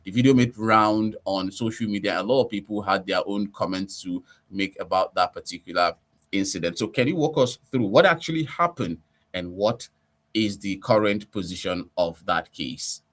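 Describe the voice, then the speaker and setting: A man with a Nigerian accent hosting a radio interview, putting formal questions in a measured presenter's manner. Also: on the low side, expressive, a bit bright.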